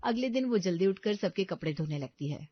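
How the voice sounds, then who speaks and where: A woman's voice: medium pitch, animated, fairly quick, dry recording, muffled recording. A woman narrating a scripted Hindi bedtime family story.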